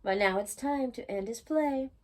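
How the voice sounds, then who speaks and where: A woman reading a children's bedtime picture book aloud in a gentle, singsong way. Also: a little high, moderate pace. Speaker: children's storytime reader.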